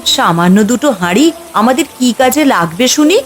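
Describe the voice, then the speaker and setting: A village housewife and mother, acted by a woman with a high voice. She is questioning and exclamatory, in cartoon storytelling style over soft music. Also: lively intonation, normal speed, lightly acted. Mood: doubting. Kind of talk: children's fairy tale cartoon dub.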